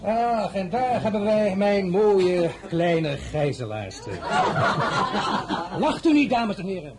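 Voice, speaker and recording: An actor playing an imperious, boastful French emperor in a historical radio drama, pompous and declamatory, by turns mocking and threatening. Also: male voice, sits high, sing-song, takes it slowly, a loud crowd, heavy background noise, exaggerated voice. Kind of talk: Dutch-language historical radio drama acting.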